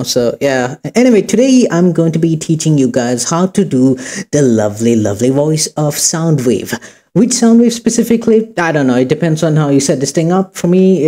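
A man hosting an informal software tutorial, talking casually and hesitantly as he goes. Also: mid-range voice. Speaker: tutorial host. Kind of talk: informal screen-recorded tutorial.

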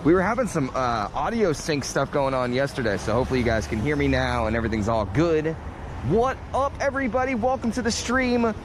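A man's voice: on the high side, background noise. A young man live streaming as he walks a city street and then a big casino, greeting viewers and reading their comments aloud. His voice is wearing out, and he talks into a camera mounted on his backpack. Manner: upbeat, greets chat viewers by name, reads comments aloud. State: voice getting worn out. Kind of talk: casual live-stream chat while walking. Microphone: body-worn camera mounted on a backpack.

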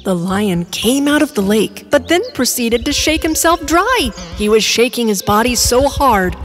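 A woman narrating an animated children's storybook in an expressive storytelling manner. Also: on the high side, a little slow, faint music behind.

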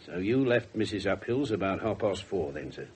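An English actor playing a blunt, sardonic, wisecracking police inspector in a radio drama. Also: male voice, deep voice, expressive, normal speed. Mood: brusque questioning. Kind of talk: 1970s radio drama acting. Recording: noisy 1970s radio broadcast recording.

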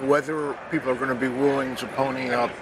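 A man's voice: mid-range voice, little pitch movement, fast talker, rough recording. An older man talking casually as an interview guest. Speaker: interview guest, comic book editor. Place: busy comic convention booth. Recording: loud convention crowd behind.

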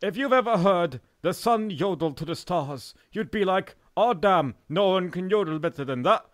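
A man's voice: high voice, animated, moderate pace, dry recording, studio quality. A man playing a pompous, rambling, absurdly reverent expert in an improvised mock documentary.

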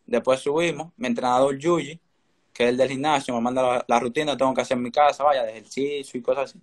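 Dominican teen guy answering interview questions on a video call, talks really fast, casual. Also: medium pitch, fairly even intonation. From Dominican Republic. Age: teenager. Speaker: young baseball prospect, interview guest. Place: indoor room, remote video call. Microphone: video call, phone or laptop close in front.

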